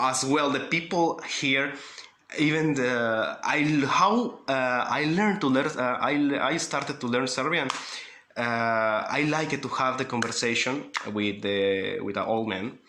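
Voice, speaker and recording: A Mexican man, a native Spanish speaker, telling a casual vlog story in halting English with many fillers and laughs. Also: mid-range voice, a little hiss or hum. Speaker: vlogger. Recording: roomy echo with loud background music.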